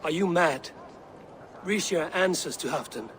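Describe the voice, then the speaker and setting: A man playing a stern, forthright Viking warrior in a dramatic video game, speaking in a measured, blunt way. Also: a little high, fairly bright tone, slight background noise. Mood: stern, disapproving.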